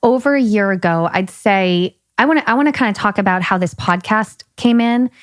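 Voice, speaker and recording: A woman's voice: mid-range voice, brisk, no echo, clean studio sound. A woman co-hosting a podcast in casual chat, chiming in and steering the conversation. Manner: interjecting, guiding the conversation. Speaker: podcast co-host.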